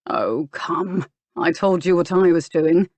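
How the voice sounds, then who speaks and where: A lazy, mocking young earl drawls every line slowly, as a woman puts him on. The voice sits low for her and is recorded dry and close. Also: animated, darkish tone, no echo.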